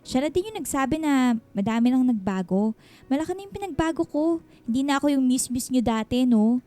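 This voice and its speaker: Radio drama single mom, Filipina in her thirties: high-pitched, mellow, reluctant, gently protesting, insecure. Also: animated, fairly quick. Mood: regretful, insecure. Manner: demurring, self-deprecating, softly protesting. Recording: music bed under the dialogue.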